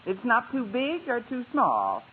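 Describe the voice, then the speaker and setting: An actress playing a wry, lonely single English teacher in a 1950s radio sitcom, with dry, deadpan wisecracks. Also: female voice, on the high side, unhurried. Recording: tinny, hissy old radio broadcast.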